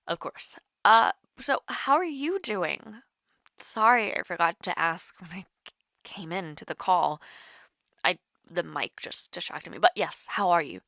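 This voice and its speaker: A woman's voice: medium pitch, no room sound, studio quality. A young woman playing a shy, caring college student with a crush in an intimate audio roleplay, hesitant and easily flustered. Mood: nervous, playful. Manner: hesitant, flustered, soft-hearted, giggly. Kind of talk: intimate romantic audio roleplay, one-sided call.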